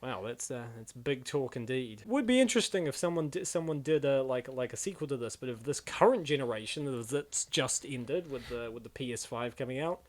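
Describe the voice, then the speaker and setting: A man hosting a comic dub with excitable, rambling, casual reaction commentary. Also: medium pitch, fairly quick, few pauses.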